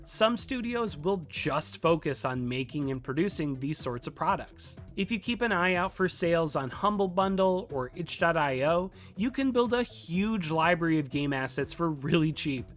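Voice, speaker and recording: Higher-voiced man narrating a tutorial. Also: lively intonation, normal speed, hardly any pauses, faint music behind. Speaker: video host, game developer and former freelance artist. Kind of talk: informal scripted tutorial narration.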